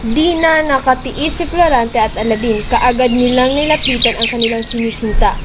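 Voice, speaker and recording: A woman's voice: a little high, moderate pace, noisy background. A young woman reading scripted story narration for an amateur radio drama. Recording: loud music behind a homemade recording.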